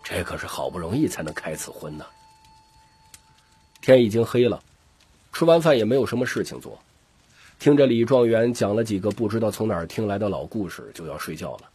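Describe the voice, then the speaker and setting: A man with a gravelly, husky voice narrating an audiobook story expressively. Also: fairly low pitch, animated, brisk, pauses often, warm tone, no room sound.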